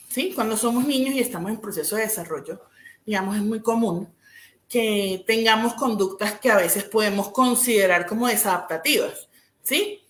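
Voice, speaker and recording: A woman from Venezuela speaks at a middle pitch, explaining patiently as a psychologist being interviewed. She keeps checking in with a quick, rising "¿sí?". Her voice echoes around the room. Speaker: clinical psychologist guest.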